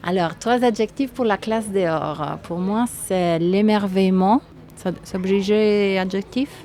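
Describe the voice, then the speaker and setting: A woman from Italy speaking with an Italian accent, answering interview questions in a hesitant, thoughtful way with many pauses and fillers. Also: mid-range voice, warm tone. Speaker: guest.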